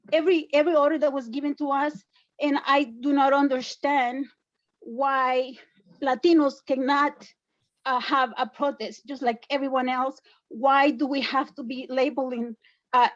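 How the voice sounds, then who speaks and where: A woman's voice: high voice, steady tone, unhurried, dull audio. A woman from El Salvador with a Salvadoran Spanish accent, speaking insistently and defensively as a public commenter at an online town hall. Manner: insistent and defensive, repeats points.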